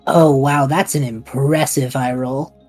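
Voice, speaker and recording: A woman's voice: extremely low pitch, steady tone, unhurried, darkish tone, husky, soft background music, heavily acted. A woman playing a bewildered but supportive young man in an audio drama, casual, hesitant and wry.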